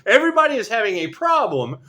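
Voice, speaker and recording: A man delivers a profane, sarcastic tarot monologue. His somewhat high voice swings widely up and down in pitch as he rants. He laughs often between remarks. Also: sing-song, fast, sharp tone. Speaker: tarot reader. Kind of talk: rambling monologue.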